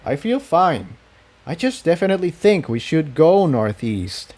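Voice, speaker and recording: A man voicing a steadfast, weary male unicorn pony in a storybook adventure, speaking in a calm, earnest, reassuring way. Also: fairly high pitch, measured pace, voice pushed up. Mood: puzzled.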